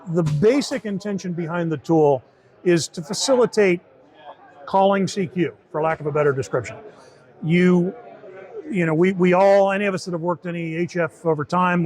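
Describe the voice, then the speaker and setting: A man with a somewhat high voice walks through a software tool in an informal demo. He explains it conversationally, often repeating and rewording his points as he goes. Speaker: software team member demonstrating a beta tool. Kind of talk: informal product demo in an interview.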